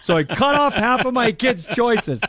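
A man's voice: extremely high pitch, very animated, normal speed, a bit bright. A middle-aged man co-hosting a morning radio show, joking casually and laughing at himself mid-sentence. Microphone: studio microphone.

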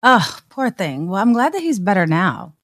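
A woman's voice: medium pitch, big pitch swings, normal speed, a bit bright. A woman co-hosting a casual podcast who asks many curious questions. Her voice is recorded close and dry, with no room echo. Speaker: podcast co-host. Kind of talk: casual chat.

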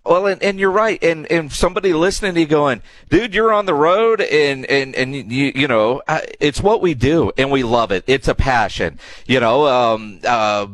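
A man's voice: medium pitch, normal speed, hardly any pauses, fairly bright tone, no echo. A man hosting sports radio in an easygoing, conversational way. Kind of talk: casual radio interview and sponsor reads.

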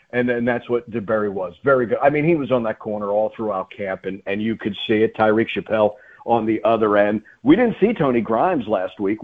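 A man's voice: medium pitch, slightly rough. A man giving sports radio analysis as a play-by-play commentator, emphatic, often repeating words and asking rhetorical questions. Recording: muffled phone-like radio line.

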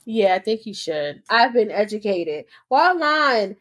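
A woman's voice: mid-range voice, sing-song, measured pace. A woman co-hosting a podcast, chatting in a playful, teasing way and cursing freely. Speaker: podcast co-host. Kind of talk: casual chat.

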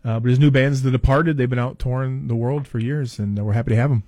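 A man's voice: mid-range voice, fairly even intonation, fast, darkish tone, dry recording. Easygoing male promoter, dry studio sound. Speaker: concert promoter guest. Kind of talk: casual radio chat.

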